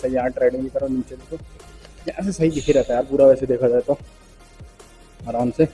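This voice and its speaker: Mellow young man, casual gym vlogger. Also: mid-range voice, fairly even intonation, a little fast, faint music behind. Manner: slangy, joking, loosely rambling. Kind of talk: casual vlog chat, teasing banter with friends.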